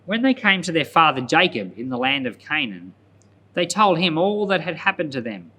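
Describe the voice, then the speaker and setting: A man reading scripture aloud as a pastor, narrating in a deliberate, storytelling manner. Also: a little high, sing-song, sharp tone.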